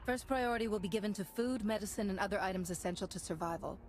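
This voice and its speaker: A woman's voice: medium pitch, fast talker, a little hiss or hum, lightly acted. An actress playing a resolute, composed female Republic hero and negotiator in a video game. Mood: calm, firm. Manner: firm, composed, authoritative.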